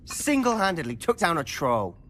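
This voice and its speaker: Earnest young student wizard. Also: male voice, fairly high pitch, huge pitch swings, normal speed, fairly bright tone, heavily acted. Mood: earnest concern. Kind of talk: video game cutscene acting.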